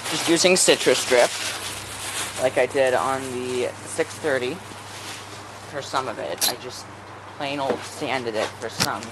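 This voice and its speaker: A man's voice: medium pitch, a little slow, pauses often. Chatty man restoring old radios, noisy room. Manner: thinks aloud while working, chatty asides. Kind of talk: casual workshop narration. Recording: noisy workshop recording.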